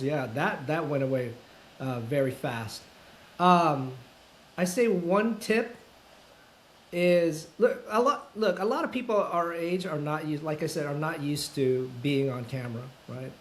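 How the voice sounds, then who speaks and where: Fifties male guest, fairly high voice, hesitant and thoughtful, lots of ums and restarts. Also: a little hiss or hum. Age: 50s. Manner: self-described introvert. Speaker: guest, full-time content creator. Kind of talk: casual interview conversation.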